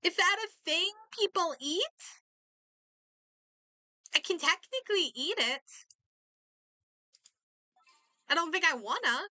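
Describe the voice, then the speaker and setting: A woman doing casual game stream commentary, reading aloud and reacting in a halting, think-out-loud way. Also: very high-pitched, animated, dry recording, soft background music.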